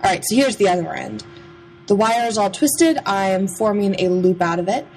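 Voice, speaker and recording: A woman's voice: mid-range voice, expressive, normal speed, a little mellow, faint music behind, rough recording. A young adult woman giving a casual, chatty, playful voice-over about a craft process. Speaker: craft vlogger.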